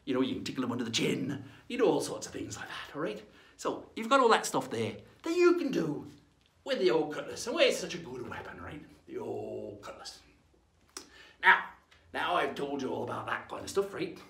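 A man's voice: on the high side, sing-song, brisk, creaky, a bit bright, heavily acted. A man playing a jolly, boastful old pirate storyteller, rambling along in a folksy, theatrical way as he hosts a playful lesson. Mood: chatty, instructive. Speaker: host of pirate-themed lessons. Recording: roomy echo.

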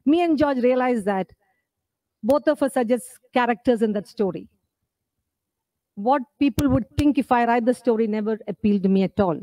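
A woman's voice: a little high, normal speed, dark tone, no echo, low-quality recording. A woman in her forties from India gives an earnest, emphatic speech at a podium microphone on an indoor stage, asking the audience rhetorical questions. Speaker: author at her book launch.

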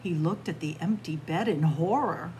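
A woman storyteller reads a picture book aloud to children in an expressive manner, recorded indoors in a library room. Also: low-pitched, normal speed, poor audio. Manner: expressive, addressing young listeners as friends. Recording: clear background room noise.

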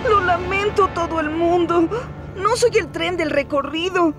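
Very high-pitched, anxious cartoon boy engine. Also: a little slow. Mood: worried, regretful. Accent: Latin American. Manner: dramatic, exclamatory. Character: eager, anxious young male talking steam engine. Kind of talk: dubbed children's cartoon acting. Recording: loud music behind the voice. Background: loud cartoon music.

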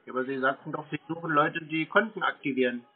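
Husky, quick deadpan man on the phone. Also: on the high side, soft background music. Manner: deadpan, terse. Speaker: prank host answering scam calls. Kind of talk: casual phone chat, baiting the caller. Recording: tinny narrowband phone line.

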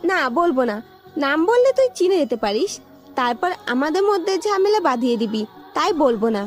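A very high, animated female voice a woman acting plays a money-minded young girlfriend. It is melodramatic love-story audio with music behind the dialogue. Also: moderate pace. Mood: cold, scornful. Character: scornful, money-hungry young girlfriend, later begging forgiveness.

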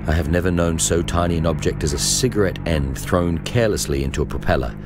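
A man reads a historical journal as scripted narration, in a measured, formal storytelling manner. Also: sits low, music in the background. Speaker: narrator reading a memoir.

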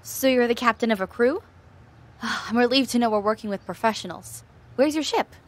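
A woman's voice: a little high, normal speed, close to an everyday voice. A woman playing a composed alien fugitive who leads her crew in an audio drama. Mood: composed, urgent.

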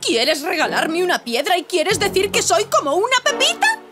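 An actress voicing a haughty, sharp-tongued young chief's daughter in a cartoon, theatrical and scornful. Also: female voice, very high-pitched, sing-song, moderate pace. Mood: offended. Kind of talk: animated cartoon dub. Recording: loud music behind.

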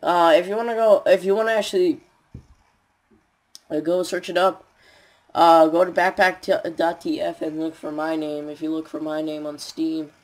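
A young man with a raspy voice and a stuffy nose, rambling tiredly with many fillers as he comments on his gameplay. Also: a little high, steady tone, normal speed. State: stuffy nose, sore throat.

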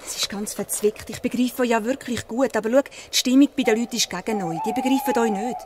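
A woman's voice: fairly high pitch, fast, background noise, close to an everyday voice. A woman playing an earnest, pleading young girl in a Swiss German radio play.

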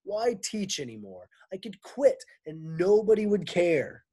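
A whiny, weary schoolteacher woman in her later years, pitched high and pushed loud with self-pity, voiced by a man. She sounds near tears and plaintive. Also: very animated, measured pace, breathy edge, heavily acted. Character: weary put-upon older woman teacher.

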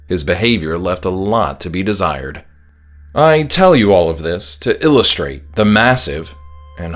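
A man narrates a horror audiobook in the first person. His voice sits on the low side, and he reads from a script as the author of the story. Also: a bit bright.

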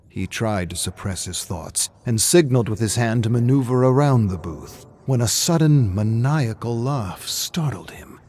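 A man's voice: medium pitch, darkish tone, slight background noise. A man reading a scripted story aloud as a narrator, with theatrical, suspenseful delivery. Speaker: narrator reading game lore.

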